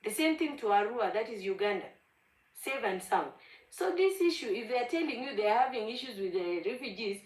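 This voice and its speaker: A woman's voice: a little high, animated, brisk. Passionate Kenyan-accented woman, echoey call. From Gambela, Ethiopia. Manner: passionate, insistent, repeats honestly. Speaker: panel participant. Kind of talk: heated online debate.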